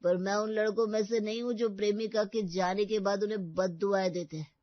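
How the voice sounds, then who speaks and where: A man's voice: high-pitched, fairly even intonation, a little fast, dry recording, dull audio, natural voice. A man voicing a heartbroken, self-pitying young lover in a melodramatic audio story. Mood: brooding, heartbroken. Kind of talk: dramatic audio story acting.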